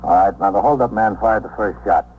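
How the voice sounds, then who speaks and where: An American actor playing a hard, skeptical detective lieutenant questioning in a probing, curt way in a 1950s radio drama. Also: male voice, on the low side, fairly quick, narrow, tinny audio, lightly acted. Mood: stern, insistent. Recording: noisy old 1950s radio recording.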